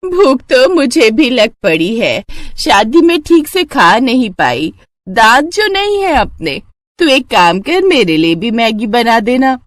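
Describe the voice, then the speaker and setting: A woman voicing a hungry, toothless old grandmother-in-law, insistent and folksy, in an animated family story. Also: fairly high pitch, slow, dry recording, studio quality, pitched above the performer's own voice. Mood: hungry, coaxing. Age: older.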